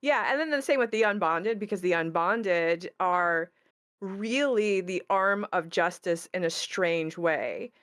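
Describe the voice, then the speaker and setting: A woman's voice: fairly low pitch, unhurried, a bit bright. A woman talking casually as a game creator, with a wry, good-humoured manner. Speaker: game creator discussing her project. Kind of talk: casual discussion.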